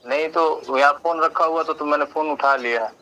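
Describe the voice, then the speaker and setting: A man's voice: on the high side, moderate pace, sharp tone. A man, an agency employee talking on the phone. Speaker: gas agency employee taking a call. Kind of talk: phone conversation. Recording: noisy phone call with background ambience.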